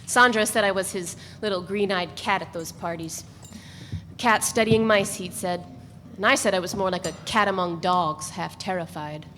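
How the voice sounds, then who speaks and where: Woman narrator, measured and even reading, recorded live in an echoey room with faint hiss. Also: mid-range voice. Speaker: reader. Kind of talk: first-person literary narration read aloud.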